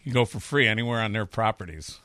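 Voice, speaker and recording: A man's voice: lowish voice, quick pace, sharp tone, no echo. A man in his fifties with a Chicago accent, a chef talking candidly and rambling through stories in a casual interview. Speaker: chef and restaurateur.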